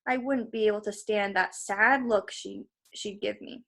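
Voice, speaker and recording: A woman's voice: mid-range voice, steady tone, measured pace, creaky, fairly bright tone. A woman reading a story aloud expressively, with informal asides to her listeners. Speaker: storybook reader. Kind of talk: read-aloud narration with casual asides to listeners.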